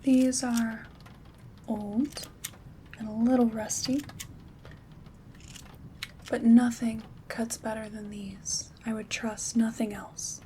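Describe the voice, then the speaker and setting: Slow, hushed woman as a gentle witch. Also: on the high side, little pitch movement. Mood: calm, caring. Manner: soothing, unhurried, intimate. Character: gentle, caring female witch herbalist. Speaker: ASMR performer. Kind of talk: ASMR roleplay, soft-spoken and whispered. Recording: soft rain and handling noise. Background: rain sounds, craft rustling.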